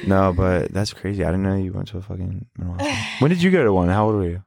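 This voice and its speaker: A man's voice: deep voice, lively intonation, very fast, gravelly, a little mellow. A man in his twenties chatting casually, with a crude, profane and joking manner. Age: 20s. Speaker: podcast host. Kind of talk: casual banter, vlog chat.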